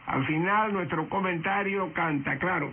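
A man's voice: high-pitched, normal speed, a little hiss or hum. A man hosting a radio news program, addressing listeners in a scripted presenter's style. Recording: tinny shortwave radio broadcast with music behind. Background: music.

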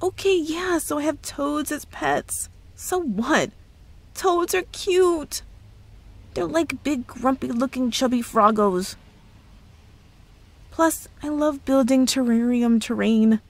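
Woman playing a slow-talking, evasive witchy girlfriend. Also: high-pitched, dry recording. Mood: defensive, playful. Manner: playful, feigned innocence, drawn-out hesitations. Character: cheerful, evasive young girlfriend who is secretly a witch. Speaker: audio roleplay voice actress. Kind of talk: ASMR roleplay, scripted one-sided dialogue.

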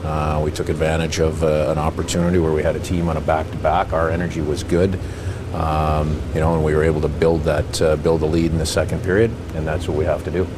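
A middle-aged man with a very low, flat voice talks to reporters after a game, full of "you know" and restarts. Handheld mics sit close to him in front of an arena backdrop. Clear background noise hums behind his voice. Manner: halting, many fillers and restarts. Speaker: hockey head coach. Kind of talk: postgame press conference. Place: arena press backdrop, indoors. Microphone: handheld reporter mics held close.

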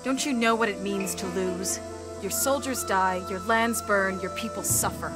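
An actress playing a proud, stern young samurai clan leader in a video game, haughty and commanding. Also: female voice, medium pitch, unhurried, over music, lightly acted. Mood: stern, scornful. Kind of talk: dramatic video game cutscene acting.

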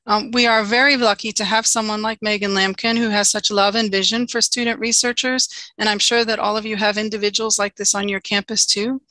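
A woman giving a conference talk over a video call, earnest and steady with frequent ums, now and then asking for the next slide. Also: medium pitch, fairly quick, few pauses, dry recording, low-quality recording. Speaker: conference presenter. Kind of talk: virtual conference presentation.

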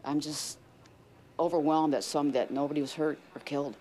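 A woman's voice: sits low, steady tone, normal speed. Middle aged lady at home talking to news crew, shaken up, noisy background. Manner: emotional, unpolished speech. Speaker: evacuated resident. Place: indoors at home.

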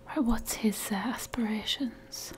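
A woman's voice: on the high side, steady tone, takes it slowly, rough recording. A woman whispering playful, bossy commentary while playing a life-sim game. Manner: whispering, wry and bossy toward game characters. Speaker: gamer.

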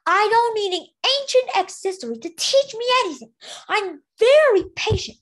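A woman's voice: extremely high pitch, big pitch swings, moderate pace, voice pushed up. A woman voicing an irritable, proud young dragon queen from a storybook in a snappish, indignant, emphatic manner.

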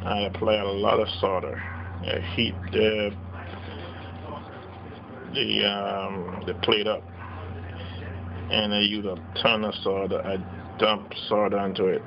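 A man slowly walking through a hands-on circuit board repair at his workbench, explaining each step plainly. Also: on the low side, flat delivery, vocal fry. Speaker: radio repair technician. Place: workbench, indoors. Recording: dull lo-fi camera audio with noise.

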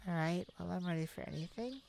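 A woman playing a tenderhearted mage devoted to a werewolf partner in a romantic ASMR roleplay, soft, affectionate and creaky, with pauses. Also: on the low side, expressive, heavy background noise. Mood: affectionate, resolute. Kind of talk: romantic ASMR roleplay, one-sided dialogue.

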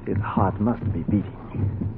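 A man's voice: fairly low pitch, steady tone, takes it slowly, creaky, phone-quality sound. An actor playing a grave, urgent male doctor in a 1940s radio drama. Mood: grave, concerned. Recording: crackly, thin 1940s radio recording.

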